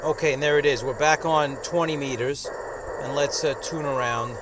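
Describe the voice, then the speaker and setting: A man giving a casual hands-on product review outdoors, speaking in a halting, hesitant way. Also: mid-range voice, steady tone. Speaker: radio reviewer. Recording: outdoor noise, insects and traffic.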